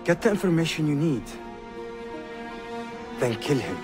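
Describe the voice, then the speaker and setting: A man's voice: a little high, very animated, a little slow, over music. An actor playing a shrewd, resolute young Ottoman prince in a video game, speaking formally and precisely. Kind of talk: video game cutscene acting.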